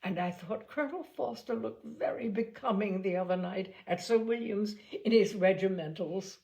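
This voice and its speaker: A woman voicing an excitable, fussy, gushing middle-aged mother in a storybook reading. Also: mid-range voice, moderate pace, vocal fry, close to an everyday voice. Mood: indignant, fond. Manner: indignant, exclamatory, fussy.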